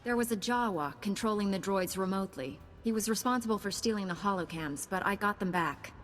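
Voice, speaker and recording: A woman's voice: medium pitch, brisk, a bit bright, faint music behind, lightly acted. A woman playing a confident, capable female Jedi knight in a video game, speaking in an assured, direct way. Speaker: player hero character in a video game. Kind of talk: scripted game dialogue.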